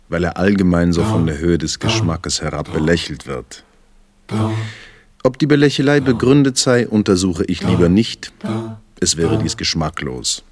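A man giving a theatrical literary recitation in a playful manner, full of rhetorical questioning. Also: sits low. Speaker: stage performer reciting literary prose. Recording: loud music behind the voice. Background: instrumental music.

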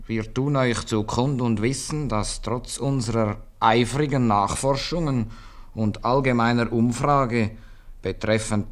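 A man's voice: lowish voice, close to an everyday voice. A man playing a middle-aged, dry but kindly town bailiff and old suitor in a 1950s Swiss German radio comedy. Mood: official, reading. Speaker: actor in a radio play.

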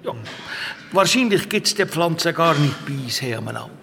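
A man's voice: a little high, very animated, normal speed, vocal fry, fairly bright tone, a little hiss or hum, full character voice. A man with a Swiss German accent playing a secretive, teasing monk and herbalist in a children's audio drama. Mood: explaining.

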